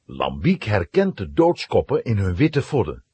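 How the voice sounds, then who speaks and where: A man's voice: on the low side, wildly swooping pitch, a little slow, warm tone, husky. A man narrating a children's adventure story as a dramatic, scripted storyteller.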